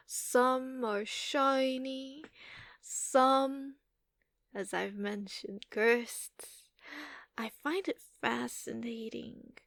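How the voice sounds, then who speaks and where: A woman voicing a giant dragon mother in an ASMR roleplay, doting, teasing and softly dominant, cooing and repeating herself with murmured hums, sometimes in whispers. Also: fairly high pitch, gravelly, dry recording, clean studio sound, exaggerated voice. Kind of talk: monster girl ASMR roleplay. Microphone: close microphone.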